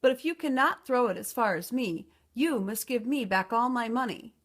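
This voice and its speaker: A big male storybook giant played by a woman reader, blustering and quick to fret. The voice is fairly high for so large a creature and swings up and down as he protests. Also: lively intonation, fairly bright tone. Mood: challenging. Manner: exclaiming, protesting.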